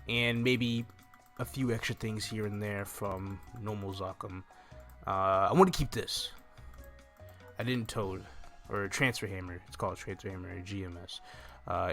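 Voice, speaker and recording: A young adult man hosting a casual, animated gameplay walkthrough, speaking close to a desktop microphone in a small room. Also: medium pitch. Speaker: gaming video host. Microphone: desktop condenser microphone close up. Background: game music.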